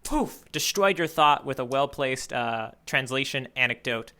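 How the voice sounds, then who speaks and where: A man with a crisp, clean voice and a bright edge. He talks casually as a podcast co-host, in a playful, joking way. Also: medium pitch, animated, a little slow. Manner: playful, jokey. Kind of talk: casual chat.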